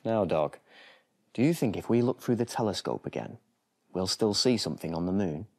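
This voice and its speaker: A British man in his thirties reading a children's bedtime story in a gentle, deliberate, expressive storytelling style. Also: on the low side. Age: 30s. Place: TV studio set.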